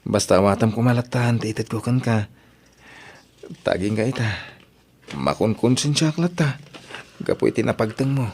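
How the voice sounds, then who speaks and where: Earnest young Ilocano man, medium-pitched, acted by a man. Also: normal speed, long pauses, a little mellow, a little hiss or hum, close to an everyday voice. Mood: guilty, concerned. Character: earnest young Ilocano man, guilt-ridden, generous and devoted. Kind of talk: Ilocano radio drama acting.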